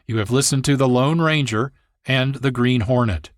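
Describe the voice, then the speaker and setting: A man hosting a radio programme, reading scripted narration in a measured, formal way. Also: medium pitch, no room sound, studio quality. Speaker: radio show host.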